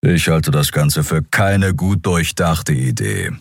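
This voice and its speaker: A man's voice: very deep voice, sing-song, takes it slowly, vocal fry, dry recording, studio quality, heavily acted. A man voicing a grumpy, sceptical male creature in a fantasy audiobook, with a growling, grumbling delivery.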